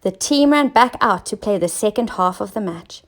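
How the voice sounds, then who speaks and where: A woman teacher narrating a scripted children's story, expressive and clearly enunciated for young listeners. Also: mid-range voice, normal speed. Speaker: teacher reading stories to children.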